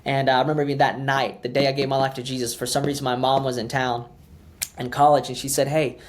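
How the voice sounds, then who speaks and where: Male pastor, 30s, podcast guest: casual storyteller who trips over his words, mid-pitched. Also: little pitch movement, quick pace, a little hiss or hum. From Ukraine, raised in the US. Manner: chatty, many fillers and restarts.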